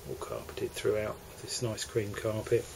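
A man's voice: fairly low pitch, moderate pace, vocal fry, slight background noise, rough recording. English man touring a yacht's cabins. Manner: relaxed, hesitant, with fillers and restarts. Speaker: yacht broker. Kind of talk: walkthrough tour narration. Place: cabins below deck on a moored motor yacht. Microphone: handheld camera mic.

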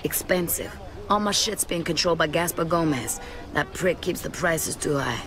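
A woman plays a shrewd bartender who deals on the side in a video game. She sounds cool, sassy and tough with a flirty edge, over clearly audible music. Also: deep voice, normal speed, natural voice. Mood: businesslike. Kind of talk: video game cutscene acting.

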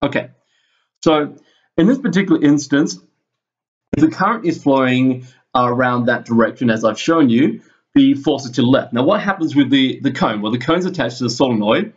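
Dull-sounding recording of a man teaching. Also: mid-range voice. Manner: thinks aloud, halting step-by-step explaining. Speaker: physics teacher. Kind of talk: informal screen-recorded physics lesson explanation. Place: indoors, screen-recorded voice-over at a computer.

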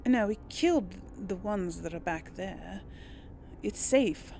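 A woman's voice: mid-range voice, animated, slow pace, vocal fry, dark tone, slight background noise. A woman giving casual, chatty gameplay commentary, talking to herself and to the game's characters. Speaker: host of a role-play gaming series. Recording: game music behind the voice. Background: video game music.

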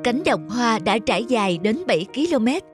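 A woman narrates a children's cartoon with calm, even delivery. Her voice is high, and lively music plays clearly beneath her. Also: normal speed. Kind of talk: scripted narration.

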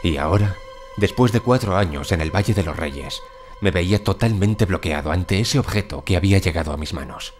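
An actor playing an obsessed, weary archaeologist in an audio drama, brooding and dramatic. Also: male voice, low voice, expressive, a little fast, faint music behind. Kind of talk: Spanish audio drama acting and narration.

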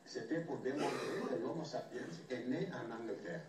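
A man explaining in a measured, lecture-like way, heard from a film clip played into the room. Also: mid-range voice, very echoey, recorded from a distance, noisy background.

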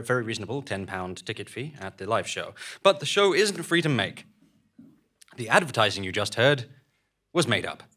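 A man on a theatre stage giving a scripted comic announcement in a dry, deliberate manner. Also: medium pitch, a bit bright. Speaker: show performer making sponsor appeal. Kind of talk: scripted live comedy announcement. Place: small theatre stage, live show.

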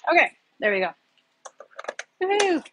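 A woman's voice: very high voice, sing-song, unhurried, background noise. A woman in her thirties chatting casually as she cooks in a home kitchen, rambling with lots of fillers, recorded on a phone on the counter. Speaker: home cook vlogger. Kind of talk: casual cooking demo. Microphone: phone camera on the counter.

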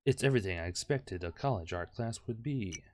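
Low-voiced irreverent man reading a game aloud. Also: animated, fairly quick, background noise. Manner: irreverent, crude, jokey. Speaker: gaming video host. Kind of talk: reading a visual novel aloud with joking asides. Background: game music.

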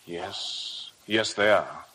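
An actor playing a discreet, reticent estate manager in a radio drama, polite and halting. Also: male voice, low-pitched, bright, forceful tone, slight background noise, exaggerated voice. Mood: guarded, polite. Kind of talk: 1950 radio drama acting.

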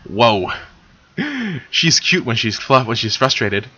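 Male reader as stallion: sly, teasing, bright, lo-fi. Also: fairly low pitch, slow pace, heavily acted.

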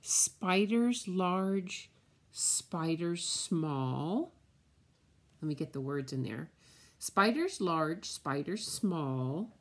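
A middle-aged woman reads a picture book to little children in a fairly low voice. Her delivery is very slow and deliberate, with words drawn out and simply explained, as a preschool teacher would at story time. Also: expressive. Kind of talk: picture-book read-aloud for small children. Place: indoors, room at home with window blinds.

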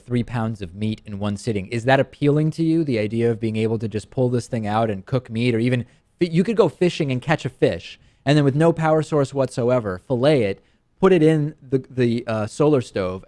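Male studio host, 30s, keen and playful, mid-pitched voice, close dry mic. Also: animated, a little fast, dark tone, no room sound. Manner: enthusiastic, teasing his co-host. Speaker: show host. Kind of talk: casual product review chat. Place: TV studio set. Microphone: studio mic on a stand.

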